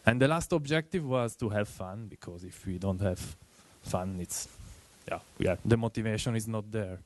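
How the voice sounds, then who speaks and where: A man with an Italian accent presenting at a tech meetup, speaking earnestly and haltingly with many fillers. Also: fairly low pitch, darkish tone, no echo.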